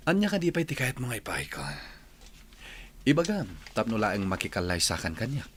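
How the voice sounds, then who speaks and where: A man's voice: on the low side, very animated, normal speed, a little hiss or hum. A man playing a rich, courteous and ardent young suitor in a Filipino radio drama. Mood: ardent, pleading.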